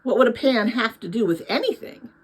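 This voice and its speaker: A woman's voice: fairly high pitch, animated, brisk, slightly rough, rough recording. A woman reading the part of a keen, practical young girl in a storybook. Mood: puzzled. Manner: keen, urgent.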